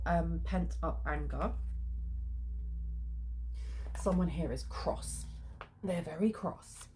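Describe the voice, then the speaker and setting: A woman's voice: lowish voice, a little mellow, slight background noise, low-quality recording. A woman with a head cold, sniffly, giving a chatty tarot card reading with plenty of ums. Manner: chatty, many filler ums. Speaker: tarot reader.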